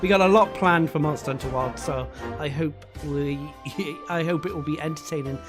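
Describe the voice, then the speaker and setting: A man's voice: fairly high pitch, normal speed. A man streaming, losing his voice, chatting casually with lots of fillers as he reacts to a game showcase. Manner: rambling, chatty, with filler words and laughs. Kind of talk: casual live commentary. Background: showcase music playing.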